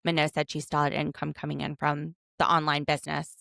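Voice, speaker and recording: Chatty woman podcast host, gravelly. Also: low-pitched, level tone, a little fast, a bit bright, no echo, clean studio sound. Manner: chatty, laughs often, overanalyzing. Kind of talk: casual chat recapping a reality show. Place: home.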